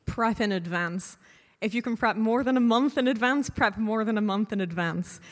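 A woman's voice: on the high side, normal speed. A woman speaking as a panel guest, giving informal advice in a wry, casual way. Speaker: panel guest, podcast creator. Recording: echoey hall with long reverb.

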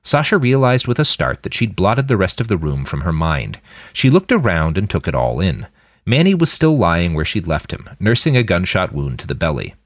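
A man reading scripted fiction as an audiobook narrator, with dramatic, expressive delivery. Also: low-pitched, moderate pace, no echo. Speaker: fiction podcast narrator and author.

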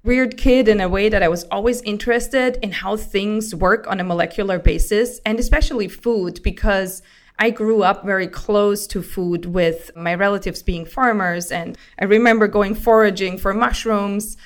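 An enthusiastic woman from Austria, a founder, answers interview questions in an explanatory way. Her voice sits at a middle pitch for a woman. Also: normal speed. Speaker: company founder, guest.

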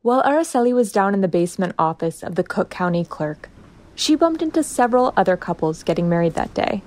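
Woman narrator with expressive pitch. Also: a little fast. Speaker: radio narrator. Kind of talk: scripted radio narration.

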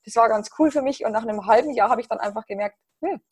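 Bavarian woman in her 30s, kinda husky, talks easy and upbeat on a podcast. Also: on the high side, fast talker, a little mellow. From Bavaria, near Passau. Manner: chatty, many fillers, upbeat. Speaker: podcast guest. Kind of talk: casual interview chat.

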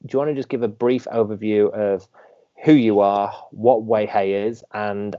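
A man's voice: fairly low pitch, fairly even intonation, moderate pace, dull audio. A man hosting a podcast, introducing his guest with enthusiasm and casual fillers. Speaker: podcast host. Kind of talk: casual interview.

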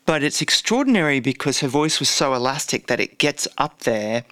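A man's voice: medium pitch, sing-song, fairly quick, pushed and bright, dry recording, studio quality. A man co-hosting a casual chat, talking with gushing enthusiasm. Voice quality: says he has a croaky voice. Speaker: podcast co-host.

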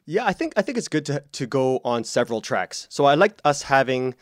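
A man's voice: mid-range voice, very animated, fairly quick, no echo, studio quality. A man speaking as an interview guest, telling personal stories conversationally and casually, acting out quotes as he goes. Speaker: interview guest, podcast creator and writer.

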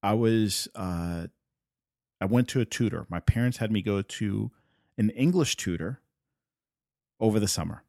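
A man's voice: fairly low pitch, a little slow, gravelly, long pauses, a little mellow, no room sound, clean studio sound. A man from Puerto Rico whose first language is Spanish, hosting a podcast and talking earnestly and emphatically, driving points home by repeating them. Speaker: podcast host. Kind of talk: motivational solo podcast talk.

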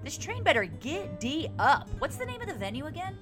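A woman playing an excitable, teasing podcast co-host in a conversational comedic audio drama. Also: sits high, brisk, music in the background. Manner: exclamatory, sarcastic, teasing. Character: excitable, teasing female podcaster travelling by train. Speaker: podcast co-host acting as herself.

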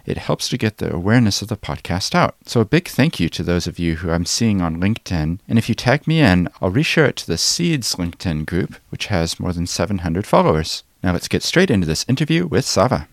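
A man's voice: deep voice, big pitch swings, brisk, few pauses. A middle-aged man hosting a conversational interview podcast.

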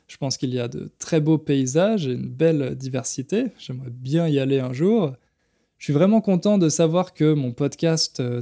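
A man hosting a podcast for French learners, speaking clearly and deliberately with frequent pauses. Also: on the high side, warm tone. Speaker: podcast host and French teacher.

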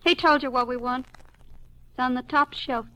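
High-pitched, reckless young American killer. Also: female voice, fairly even intonation, normal speed, bright, forceful tone. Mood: menacing, sneering. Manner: taunting, sneering. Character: cold, reckless young female killer, thrilled by violence. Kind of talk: 1950s radio crime drama acting. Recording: very noisy old radio recording.

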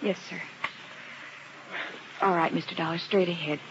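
A woman's voice: fairly low pitch, steady tone, moderate pace, close to an everyday voice. A timid young woman at the front desk speaks meekly and hesitantly, as an actress voices her. The sound is muffled and hissy, like an old radio broadcast. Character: timid, plain young female office niece. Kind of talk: 1950s radio drama acting.

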